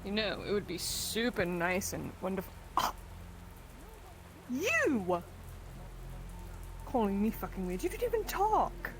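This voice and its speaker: A woman's voice: on the low side, normal speed, hardly any pauses, noisy background, lightly acted. A woman playing a bold, vengeful female adventurer in improvised fantasy roleplay, talking in casual slang with some swearing. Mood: playful, indignant.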